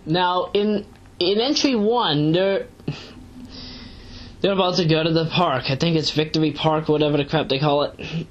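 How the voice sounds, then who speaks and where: Low-voiced woman rambling on a lo-fi recording. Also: moderate pace, pauses often. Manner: rambling, digressive, emphatic asides. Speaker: amateur web series reviewer. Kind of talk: casual unscripted review commentary. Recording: dull lo-fi home recording.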